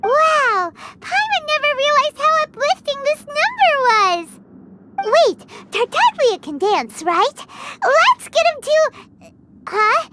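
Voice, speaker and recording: A woman voicing a small, childlike, excitable fairy companion in a video game. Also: very high-pitched, takes it slowly, heavy background noise, exaggerated voice. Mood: excited, nosy. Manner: childlike, refers to self in third person.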